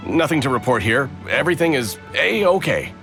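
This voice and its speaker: A man's voice: mid-range voice, very animated, normal speed, vocal fry, pushed and bright, heavily acted. A man playing a hot-headed, distrustful male soldier who talks indignantly. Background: game music.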